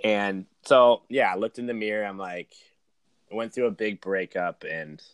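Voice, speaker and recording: Man, early 30s, lowish voice, podcast guest, slangy casual delivery, dry close sound. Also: unhurried, clear, resonant tone, no room sound. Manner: informal, slangy, uses "man", "dude" and "like". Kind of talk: casual chat, personal storytelling.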